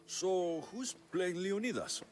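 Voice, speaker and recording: An actor playing a confident, dry-witted ancient Greek mercenary in a video game, direct and wry. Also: male voice, high-pitched, slow, darkish tone, soft background music. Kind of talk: video game dialogue acting.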